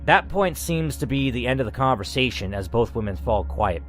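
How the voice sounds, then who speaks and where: A man with a mid-pitched voice hosts a game playthrough. He reads the story dramatically and drops into casual commentary, with music underneath. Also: lively intonation, moderate pace, fairly bright tone. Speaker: gamer, channel host. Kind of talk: reading game narration aloud with casual commentary.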